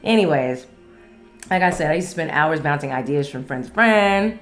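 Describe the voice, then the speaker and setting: A low-voiced woman chats casually as a solo podcast host. She is candid and playful and swears freely. Faint music plays behind her. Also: lively intonation, moderate pace.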